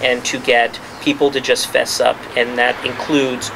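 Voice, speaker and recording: A mid-pitched man talks through his findings at a press conference held in a restaurant. He is emphatic, often restarting and repeating himself as he argues. The background noise is clear under his voice. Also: flat delivery, unhurried. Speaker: journalist giving a press conference. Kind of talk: spontaneous press-conference talk.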